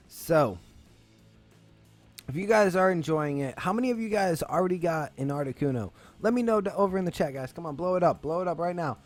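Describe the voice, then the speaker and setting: Male stream host, slightly high voice, excitable and rambling, stammers, light music under. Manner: hyped, rambling, stammering restarts. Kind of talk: casual live stream chat.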